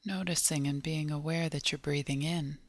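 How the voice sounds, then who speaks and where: A woman leading a guided body scan meditation in a gentle, measured manner, leaving long pauses between short phrases. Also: low voice, fairly even intonation, no echo.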